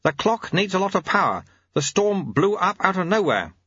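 A man's voice: high voice, sing-song, moderate pace, a bit bright, breathy edge, no echo, lo-fi sound, voice pushed up, heavily acted. A man voicing a wily, cheerful old sweeper who coaxes and teases in colloquial speech, as an audiobook character. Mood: matter-of-fact. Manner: colloquial, chirpy, coaxing.

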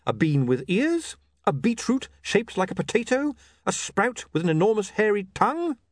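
A harried young man running a newspaper, sarcastic and flustered, as a man voices him. His raised voice swings widely in pitch. The recording is close and dry. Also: big pitch swings, normal speed, no echo. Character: young male newspaper editor, flustered and exasperated.

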